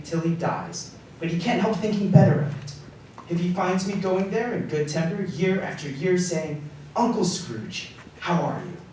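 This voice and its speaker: Casting: male actor as cheerful, forgiving young gentleman host for live radio drama; animated delivery, distant mic. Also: fairly high pitch. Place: theater stage before live recording. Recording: distant, very echoey live stage, faint noise.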